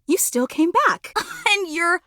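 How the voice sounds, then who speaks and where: A clingy, fawning female superfan for a video game. Her voice sits high and swings widely in pitch as she gushes with overemotional, melodramatic devotion. The sound is dry and close, with no room to it. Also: animated, slow pace, bright, forceful tone, no echo, exaggerated voice. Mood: adoring, earnest. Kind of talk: video game character voice acting.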